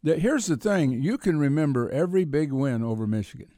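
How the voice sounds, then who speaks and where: A man's voice: a little high, big pitch swings, a little fast, mellow, no echo, studio quality. An older man with an American accent talking sports casually, in a rambling, reflective way. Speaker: sports columnist and radio co-host.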